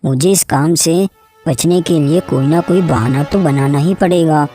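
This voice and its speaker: A woman's voice: sits low, measured pace, warm tone, soft background music. A woman voicing a lazy, sly male farmer who makes wheedling excuses in a cartoon moral story. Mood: scheming.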